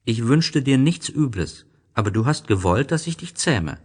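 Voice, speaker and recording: A man's voice: medium pitch, sing-song, normal speed, darkish tone, slightly rough, pitched above the performer's own voice. A man voicing an innocent, curious young boy prince in a storybook, speaking earnestly and with emphasis. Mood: reproachful.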